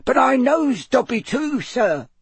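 A man voicing a tiny, timid female house elf servant in an audiobook, squeaky and quivering, deferential and anxious, with broken grammar. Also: very animated, husky, dry recording, heavily acted. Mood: curious, timid. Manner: subservient, broken grammar, drawn-out phrasing.

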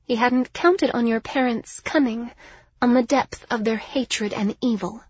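A woman narrator plays an imperious young noblewoman for an audiobook, pitched well up. She speaks formally and condescendingly, with wounded pride. The recording is slightly dull. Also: unhurried, slightly rough, dry recording. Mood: scornful.

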